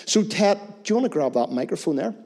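A man's voice: high-pitched, animated, fast talker, gravelly, a little mellow. A man leading a children's slot in a church service, explaining things simply and in a friendly way. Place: church hall. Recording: long church reverb.

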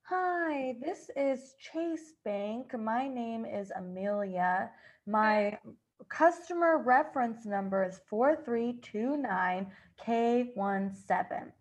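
A woman's voice: fairly high pitch, expressive, takes it slowly. A woman improvising a comedy sketch as a polite, curious bank agent making a customer-service call, a little hesitant. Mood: polite, puzzled.

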